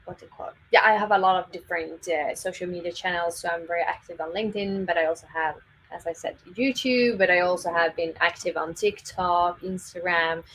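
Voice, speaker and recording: A woman's voice: fairly low pitch, steady tone, a little fast, a bit bright, slight background noise, poor audio. A Swedish woman with a Swedish accent, a videographer guest in a casual interview, upbeat and full of fillers. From Sweden. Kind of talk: casual interview on a live stream.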